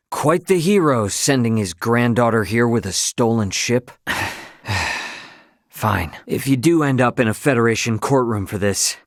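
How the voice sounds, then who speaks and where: A man's voice: mid-range voice, no room sound, studio quality. An actor playing a brash, informal young starship captain, a cocky but loyal man, in a video game. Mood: determined, businesslike. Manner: informal, slangy, plain-spoken. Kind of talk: English game dub character dialogue.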